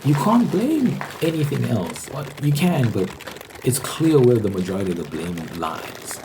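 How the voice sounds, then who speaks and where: A man's voice: medium pitch, sing-song, moderate pace, vocal fry, background noise. A man giving a casual, rambling ASMR monologue, informal and hesitant, often repeating his words. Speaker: host.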